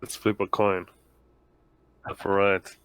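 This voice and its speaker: Smooth low-voiced man chatting over a game. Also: flat delivery, slow, fairly bright tone, no echo. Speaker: tabletop RPG player. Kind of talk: casual game chat.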